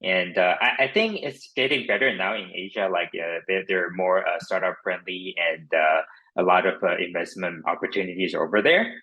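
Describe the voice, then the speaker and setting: A man's voice: low-pitched, moderate pace, highs cut off. A man from Taiwan with a Taiwanese accent chats casually as an interview guest, hesitant and leaning on filler phrases like "you know". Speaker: crypto startup founder and podcast guest.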